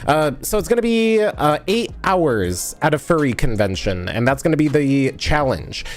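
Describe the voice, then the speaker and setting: A man's voice: on the high side, very animated, normal speed. A man live streaming and still sniffly from a recent cold. He rambles from one topic to the next, thanks viewers and answers their comments, with faint music playing underneath. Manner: rambling, chatty, reads and answers chat. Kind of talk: casual live-stream chat while editing.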